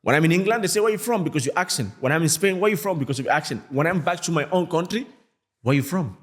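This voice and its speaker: Fast-talking man from Mali. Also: a little high. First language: a Malian language. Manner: fast, animated talk in non-native English, acts out quoted people. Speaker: guest, music artist. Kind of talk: casual podcast chat.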